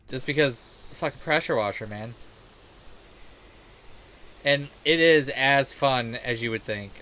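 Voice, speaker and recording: Male game streamer, mid-pitched, casual and crude, rambling commentary, noisy ambient background. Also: bright, forceful tone. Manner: crude, jokey, rambling, sweary; acts out quoted remarks. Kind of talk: casual gaming commentary and chat. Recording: clear background noise.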